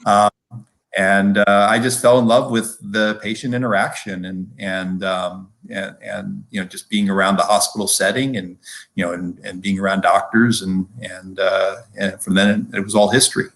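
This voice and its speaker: Low-pitched middle-aged man, casual storyteller. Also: level tone, normal speed, fairly bright tone. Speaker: physician-scientist guest. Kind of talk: casual personal storytelling in conversation.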